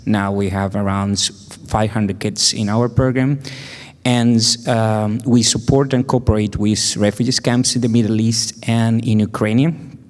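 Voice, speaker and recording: Low flat guy, lots of uhs, echoey hall. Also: a little slow. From Venezuela. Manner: hesitant, many uhs. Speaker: panelist, nonprofit founder. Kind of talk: panel introduction. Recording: hall reverb.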